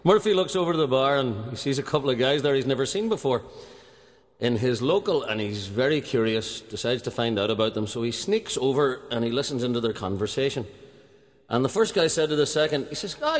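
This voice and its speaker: A man spins a long comic yarn and works each bit toward its punchline. His voice sits at an ordinary middle pitch. A long hall reverb follows every phrase. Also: lively intonation, fairly quick. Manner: storytelling, building to punchlines. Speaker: joke teller. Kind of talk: telling a long comic joke.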